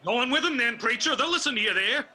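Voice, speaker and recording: A man's voice: extremely high pitch, lively intonation, fast, bright, forceful tone, a little hiss or hum, exaggerated voice. An actor playing a stubborn, combative mountain man in a radio drama.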